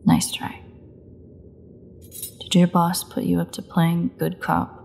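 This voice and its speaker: A starving female vampire held captive, acted by a woman in a dark audio drama. Her voice is mellow and creaky, with a taunting, flirtatious edge that barely covers her desperation. She often slips into breathy half-whispers, over faint background noise. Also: lowish voice, completely flat delivery. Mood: bitter, sarcastic. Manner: sardonic, teasing, breathy half-whispers, slow deliberate delivery. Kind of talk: scripted audio roleplay monologue.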